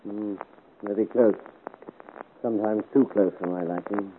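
An actor in a 1950s radio adventure serial playing a seasoned musketeer who comments in a dry, sardonic way. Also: male voice, lowish voice, fairly even intonation, unhurried, slight background noise, natural voice. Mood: wry, calm. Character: wry, seasoned musketeer companion to the hero. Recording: tinny old radio recording with crowd clamour.

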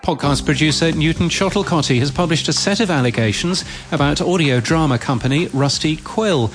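A man's voice: a little high, normal speed. A man hosting a podcast news bulletin, reading from a script. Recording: music bed behind voice. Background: music.

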